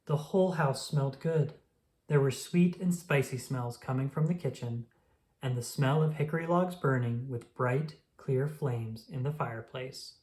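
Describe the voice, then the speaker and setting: A storyteller reading a children's picture book aloud in a slow, gentle manner. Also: medium pitch.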